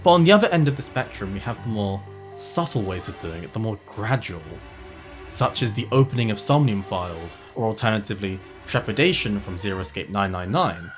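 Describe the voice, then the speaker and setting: Video essayist: lively intonation, emphatic conversational delivery with casual asides, music bed behind the voice. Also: low-pitched, fairly quick. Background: music under the voice.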